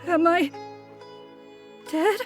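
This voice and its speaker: A woman's voice: very high-pitched, very slow, soft background music, full character voice. A woman voicing a timid, bewildered young monster boy in a video game, hesitant and childlike. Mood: uncertain, trusting. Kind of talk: dramatic video game voice acting.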